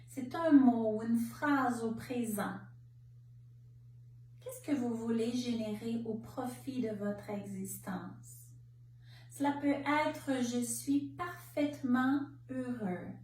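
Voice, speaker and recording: A woman leading a guided relaxation meditation as a yoga nidra instructor, speaking in calm, measured short phrases with pauses. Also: a little high. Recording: roomy, distant mic, faint background noise.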